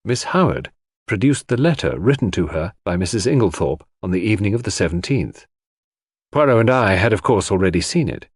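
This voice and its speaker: A man's voice: on the low side, very animated, brisk, husky, no echo, studio quality. A man reading scripted narration of a classic mystery as an audiobook narrator.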